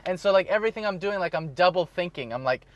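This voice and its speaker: A man with a fairly high voice, recorded dry and close, tells a rambling story on a podcast. He often stumbles and repeats himself as he gets going. His talk is loose and unscripted. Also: lively intonation, quick pace, fairly bright tone, no echo. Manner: rambling storyteller, trips over his words. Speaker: podcast co-host. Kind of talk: casual chat.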